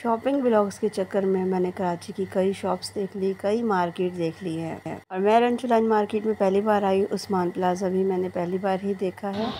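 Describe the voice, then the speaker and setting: Female shopping vlogger, mid-pitched voice, narrating over background noise. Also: fairly even intonation, moderate pace, few pauses, dark tone. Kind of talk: vlog narration of market stalls and prices. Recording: clearly noisy background.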